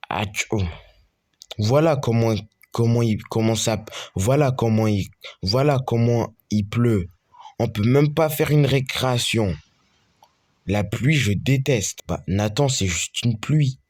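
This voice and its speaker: A man with a lowish voice talks his way slowly through a homemade school story, playing every part himself. He stumbles and repeats phrases as he goes. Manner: halting, repetitive, restarts mid-phrase. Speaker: storyteller voicing all characters. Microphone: screen-recorder capture.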